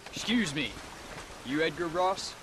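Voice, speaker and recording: A man's voice: on the high side, expressive, a little slow. A man playing a young American Western cowboy, polite but set on avenging his father, in a game cutscene. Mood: polite, earnest. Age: young adult. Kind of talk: video game cutscene acting. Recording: clear outdoor ambience noise behind.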